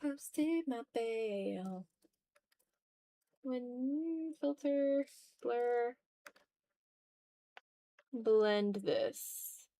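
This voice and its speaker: A woman's voice: fairly high pitch, lively intonation, extremely slow, long pauses, dark tone. A woman chatting casually on a livestream as she draws, slangy and playful, talking half to herself and half to viewers. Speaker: streamer, digital artist. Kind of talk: casual livestream chat while drawing.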